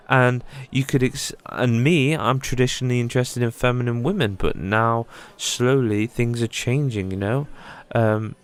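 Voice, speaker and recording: A man with a clear, smooth voice at an ordinary middle pitch. He talks in a loose, rambling way, candid and full of swearing, as if giving a friend his honest take one-on-one. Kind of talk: casual one-sided monologue to a friend.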